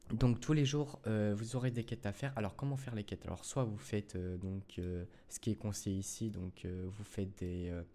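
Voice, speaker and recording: A man's voice: lowish voice, steady tone, moderate pace, few pauses, warm tone. Rambling French gaming tutorial guy. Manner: rambling, full of fillers and self-corrections, addresses viewers as "les gars". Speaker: gaming youtuber. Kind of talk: casual gameplay tutorial.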